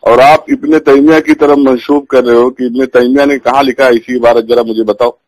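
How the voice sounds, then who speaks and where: A man's voice: medium pitch, steady tone, a little fast, a little mellow, no room sound. A man calling by phone to question a religious scholar, pressing his points in a formally polite but challenging way. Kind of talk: phone conversation, religious debate. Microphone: telephone. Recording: phone call recording.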